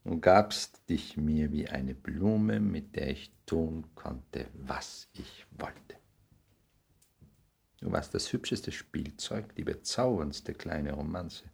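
Deep, creaky-voiced suave lord. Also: male voice, expressive, darkish tone, full character voice. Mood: nonchalant, cruel. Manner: polished, condescending, deliberate. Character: cynical aristocratic English lord, suave and self-regarding. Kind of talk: 1960s radio play acting.

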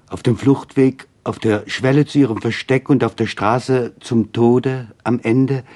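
A man reading literary narration for radio, measured and deliberate, pausing for effect. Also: mid-range voice, mellow.

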